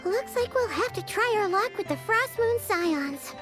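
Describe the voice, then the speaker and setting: A woman's voice: extremely high pitch, expressive, a little slow, over music. A woman voicing a tiny, chirpy, curious and cheeky fairy-like companion in a video game, perky and exclamatory. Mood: upbeat, reassuring.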